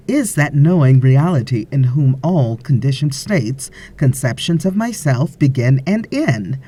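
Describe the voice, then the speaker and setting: Slow, low-key woman narrator, softly husky. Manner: measured, deliberate, with frequent pauses. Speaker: audiobook narrator. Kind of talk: scripted narration of a spiritual book.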